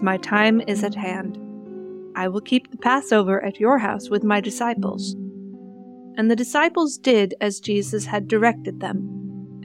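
A woman reads scripture aloud as part of a podcast. Her voice sits at a middle pitch, with music clearly playing behind her. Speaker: podcast host and scripture reader. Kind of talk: scripture reading.

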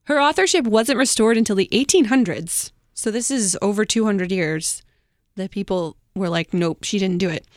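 A woman's voice: medium pitch, normal speed, no room sound, studio quality. A woman hosting a podcast, telling a researched history story in an informal, conversational way.

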